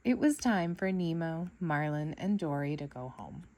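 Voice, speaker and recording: A woman storyteller reading a children's book aloud in an expressive narrating style for kids. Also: sits low, measured pace, slight background noise.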